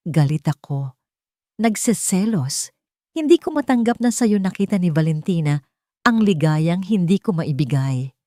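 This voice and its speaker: A woman's voice: fairly low pitch, animated, a little slow, warm tone, no echo, studio quality. A woman with a Filipino accent voicing a sincere, serious millionaire father in love in an audio storybook. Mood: confiding. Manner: earnest, serious.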